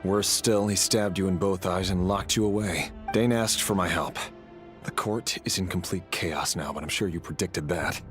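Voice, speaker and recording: An actor playing a grim, sardonic knight and conspirator in a video game, speaking in a measured, formal, dryly menacing way. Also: male voice, sits low, close to an everyday voice. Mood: cool probing. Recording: game dialogue with music behind.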